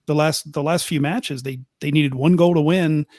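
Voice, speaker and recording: A man co-hosting a soccer podcast, laying out an argument in quick bursts and repeating words as he builds it. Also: a little high, fairly even intonation, darkish tone, no room sound, clean studio sound. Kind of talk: casual analytical chat.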